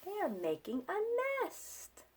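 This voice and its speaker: A woman voicing a calm, helpful little storybook pig who explains things patiently and matter-of-factly. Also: extremely high pitch, slow, background noise, pitched above the performer's own voice, full character voice.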